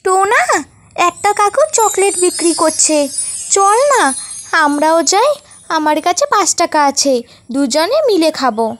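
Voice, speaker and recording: A woman's voice: extremely high pitch, animated, measured pace, pauses often, a bit bright, soft background music, pitched above the performer's own voice, full character voice. A woman voicing a naive, complaining young bird schoolchild in a cartoon story. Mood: eager curious. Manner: pleading, complaining.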